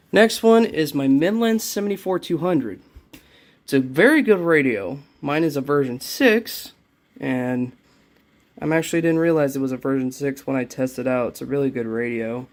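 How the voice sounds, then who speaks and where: A man giving a casual, unscripted show-and-tell as a vlogger, talking in a halting, rambling way and often repeating himself into a handheld phone. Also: medium pitch, expressive. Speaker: vlogger showing a personal collection.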